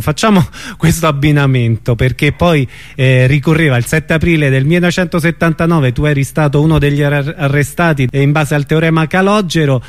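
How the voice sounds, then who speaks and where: Male radio interviewer, fairly high register, informal and a little halting as he presents.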